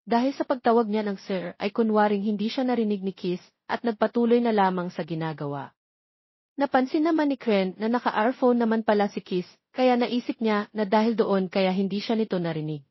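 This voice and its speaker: A woman's voice: medium pitch, moderate pace, no room sound, muffled recording. A Filipino woman narrating a scripted romance story.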